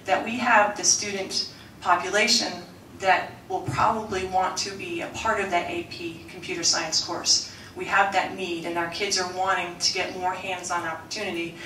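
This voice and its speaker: Bright-voiced woman presenting in an echoey hall. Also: fairly low pitch, little pitch movement, moderate pace, a little hiss or hum. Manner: loud coach's voice, softened for the mic; earnest, with frequent restarts and fillers. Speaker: school curriculum presenter. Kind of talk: formal presentation at a board meeting. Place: school board meeting room. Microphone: room microphone. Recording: echoey room, mic at a distance.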